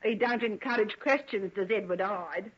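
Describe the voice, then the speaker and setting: An actress playing a bitter, guarded working-class housekeeper in an old radio drama, blunt and evasive, with a husky voice. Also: female voice, mid-range voice, moderate pace, close to an everyday voice. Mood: scornful. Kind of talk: 1940s radio acting. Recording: dull old radio recording.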